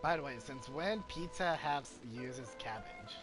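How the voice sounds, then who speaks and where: Casual game streamer over loud music. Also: medium pitch, very animated, unhurried. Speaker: gaming streamer. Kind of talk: casual gameplay commentary.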